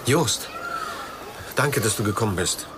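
A man's voice: on the low side, animated, measured pace. Defensive, guilt-ridden man over noisy ambience. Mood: subdued, guilty. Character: guilty man confessing to a friend, defensive, self-justifying. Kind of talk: naturalistic radio crime drama acting.

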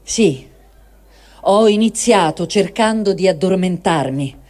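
A performer reading the diary of a war-weary, sleepless man, in short, deliberate, separated phrases. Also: medium pitch, expressive, darkish tone. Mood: anxious, exhausted.

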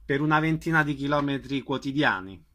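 A man's voice: medium pitch, animated, fairly quick, a bit bright. A man conducting a conversational radio interview, putting questions to his guest and summing up details.

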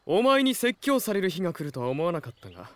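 A man's voice: high-pitched, sing-song, fast talker, a bit bright, no room sound. A man acting in a Japanese audio drama as a composed, formal young emperor who teases dryly and dotes on his wife. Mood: teasing, fond.

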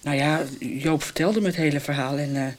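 A woman's voice: deep voice, normal speed. An actress playing a relieved, chatty woman visiting friends in a radio soap, a little hesitant. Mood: confiding.

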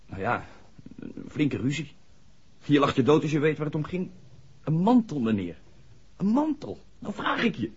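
Earnest young garage man, husky. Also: on the high side, big pitch swings, moderate pace, exaggerated voice. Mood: earnest, puzzled. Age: young adult. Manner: hesitant, deferential to his questioner. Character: earnest young village garage man, worried about mother. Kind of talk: 1960s radio play acting. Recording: dull 1960s radio drama recording.

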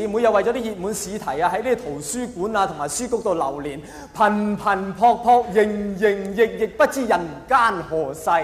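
A man with a Hong Kong Cantonese accent performing a mock-earnest stand-up monologue with dramatic pauses and emphatic delivery. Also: sits high. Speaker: stand-up comedian. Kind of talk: stand-up comedy monologue to a live audience. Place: large indoor venue with live audience. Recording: echoey hall with audience noise. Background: audience laughter and crowd noise.

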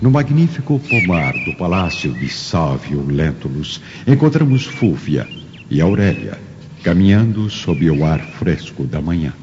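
Deep, solemn male narrator in long reverb. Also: big pitch swings, measured pace. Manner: solemn, theatrical. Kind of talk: scripted dramatic narration of a radio drama. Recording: long reverb, dull audio, music behind.

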